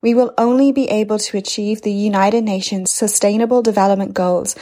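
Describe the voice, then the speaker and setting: A young woman introduces herself and then reads a pledge. She speaks clearly and deliberately, at a middle pitch. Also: steady tone, dark tone. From Ireland. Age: 20s. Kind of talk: reading a pledge.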